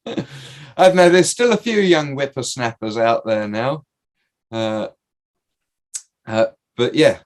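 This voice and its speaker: A man's voice: mid-range voice, expressive, slow, long pauses. A man in his forties hosts a laid-back podcast, his voice swinging widely up and down. He teases his guests and drops swear words casually. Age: 40s. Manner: jokey, teasing, swears casually. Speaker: podcast host. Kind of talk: casual group chat.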